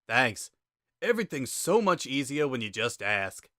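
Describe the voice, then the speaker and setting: A man's voice: medium pitch, sing-song, measured pace, bright, forceful tone, dry recording, clean studio sound. A man voicing a laid-back, wry joker with a casual, sardonic drawl in a dramatic story reading. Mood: breezy. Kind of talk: dramatic reading of fan fiction.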